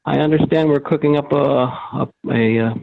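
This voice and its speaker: A man's voice: a little high, moderate pace, vocal fry. A man talking informally and jokingly as a club vice president, a little hesitant, on a video call. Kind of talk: informal meeting talk. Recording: dull, noisy video-call audio, clicks.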